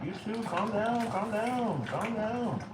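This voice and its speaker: A man's voice: high voice, expressive, slow pace. A middle-aged man chatting casually as he cooks in his home kitchen, talking to viewers and his pets while he works. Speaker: home cook vlogger. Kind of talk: casual cooking vlog chat. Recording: echoey room, distant mic, background noise.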